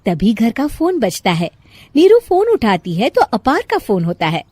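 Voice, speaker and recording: A woman's voice: a little high, huge pitch swings, a little slow, mellow, dry recording. A woman storyteller reading scripted narration for a moral bedtime story.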